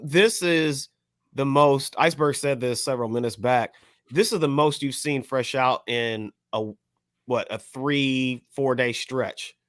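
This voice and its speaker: A man's voice: medium pitch, unhurried, fairly bright tone, dry recording, studio quality. A man co-hosting a podcast in casual, slangy banter, often repeating phrases for emphasis. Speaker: podcast co-host.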